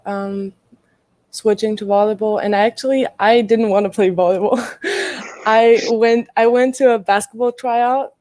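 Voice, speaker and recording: Young woman from Greece, college athlete, hesitant and laughing, mellow voice, dry close sound. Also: medium pitch, no echo. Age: young adult. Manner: hesitant, many ums, laughs often. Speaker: college volleyball player. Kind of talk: casual interview answers.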